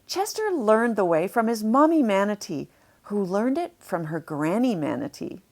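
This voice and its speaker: A woman narrating a children's storybook, deliberately explaining things to young listeners as she reads. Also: mid-range voice, very animated.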